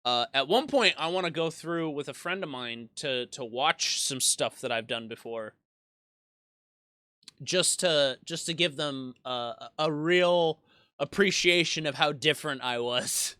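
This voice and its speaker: Young man, twenties, bright clear voice, dry close sound, rambling casual monologue, self-deprecating. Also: on the high side, unhurried, no room sound. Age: 20s. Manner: rambling with frequent restarts. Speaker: YouTube gaming creator.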